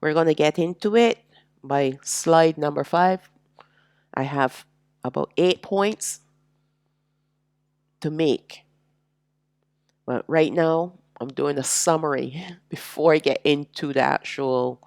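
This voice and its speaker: A woman teaching a slow, reflective sermon, sounding nasal and congested from allergies, with drawn-out, emphatic phrasing. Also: low voice, vocal fry, darkish tone, no echo, studio quality. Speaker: religious teacher.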